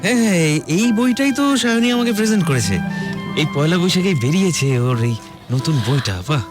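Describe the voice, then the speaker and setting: A man's voice: high-pitched, expressive, brisk, over music. A man acting a polite, earnest and loyal friend in an audio drama, speaking Kolkata Bengali deferentially with some hesitation. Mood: enthusiastic.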